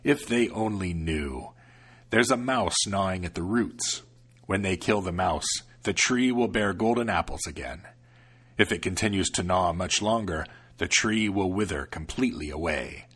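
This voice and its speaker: A man voicing a grumpy, suspicious old male devil in a slow, gruff growl. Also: deep voice, expressive, fairly bright tone, heavily acted.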